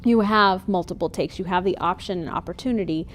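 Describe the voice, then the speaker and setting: A woman's voice: on the low side, brisk, slight background noise. A woman speaking hesitantly and thoughtfully in a documentary interview about her acting work. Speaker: amateur voice actor.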